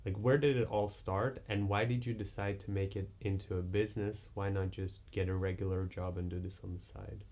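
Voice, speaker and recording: Low-pitched male host, relaxed, loose rambling questions. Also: a little fast, hardly any pauses. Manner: relaxed, filler-heavy questioning. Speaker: podcast host. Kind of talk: interview questions.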